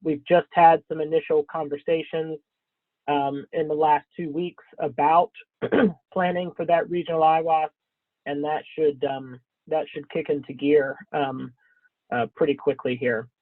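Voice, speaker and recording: A man presenting slides in a webinar, scratchy from a cold, speaking haltingly with frequent ums and throat clearing. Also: fairly high pitch, flat delivery, dry recording. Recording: thin phone-like webinar audio, dry.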